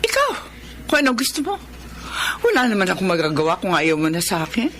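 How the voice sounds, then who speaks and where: A woman's voice: on the low side, huge pitch swings, a little fast, fairly bright tone. A woman playing a defiant, seductive widow in a secret affair, with dramatic, pointed delivery in a Tagalog radio drama. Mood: defiant, scornful. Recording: faint background noise.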